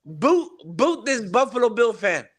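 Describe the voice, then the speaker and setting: A man co-hosting a rowdy sports podcast, talking loud, brash trash talk. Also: very high-pitched, big pitch swings, moderate pace. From Cuban and Italian heritage. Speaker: podcast co-host.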